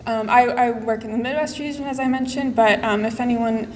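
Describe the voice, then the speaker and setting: A woman's voice: fairly high pitch, fairly even intonation, a little fast. Woman speaker with many ums in a hall. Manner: hesitant, many "um" fillers, upbeat. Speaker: advocacy staff organizer for the Midwest region. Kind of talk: conference panel talk and Q&A. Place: conference hall. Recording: reverberant hall with faint noise.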